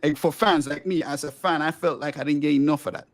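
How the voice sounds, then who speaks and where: A middle-aged man from Grenada hosting a casual podcast interview, with a light, somewhat squeaky voice, talking eagerly and rambling with many restarts. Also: fairly even intonation, fast, no echo. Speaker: podcast host and musician.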